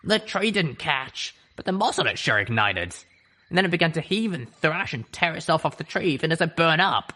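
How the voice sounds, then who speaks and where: A man voicing a gruff, sardonic female griffin mercenary who chain-smokes, with a drawl. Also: a little high, very animated, moderate pace, sharp tone, pitched above the performer's own voice, heavily acted. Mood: blunt. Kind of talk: audiobook character voice.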